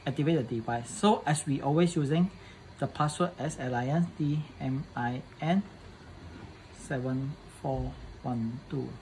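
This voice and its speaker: A man presenting a casual hands-on setup tutorial in halting English, often repeating his phrases. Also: mid-range voice, a little mellow, a little hiss or hum, poor audio.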